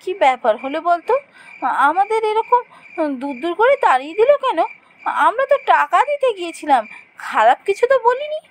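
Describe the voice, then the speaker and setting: A woman voicing an excitable, earnest young female night traveller in an animated horror story. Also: very high-pitched, brisk, a little hiss or hum. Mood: puzzled, protesting. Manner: exclamatory, earnest.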